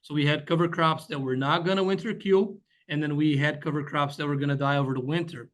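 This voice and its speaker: A man presents slides in a webinar as a guest weed scientist. His voice sits a little higher than most men's. He explains patiently and keeps checking in with "right?" as he goes. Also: fairly even intonation, fast talker, a bit bright. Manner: explanatory, frequent "you know" and "right?" checks.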